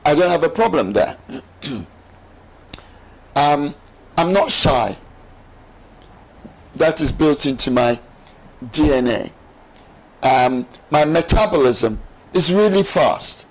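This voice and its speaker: A middle-aged man, explaining as a talk-show guest in emphatic, deliberate phrases. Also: fairly high pitch, lively intonation. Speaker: studio guest. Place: TV studio lounge set. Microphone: lapel mic.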